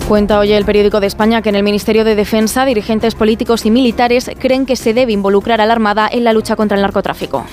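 A woman's voice: mid-range voice, little pitch movement, fast, hardly any pauses, music in the background. A woman with a Castilian Spanish accent presenting scripted radio news.

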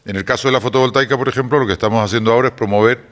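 A man from Chile with a Chilean accent tinged with Portuguese, answering as an executive in a radio interview in a measured, explanatory way. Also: medium pitch, steady tone, a bit bright. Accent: Chilean with Portuguese touches. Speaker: energy company executive guest.

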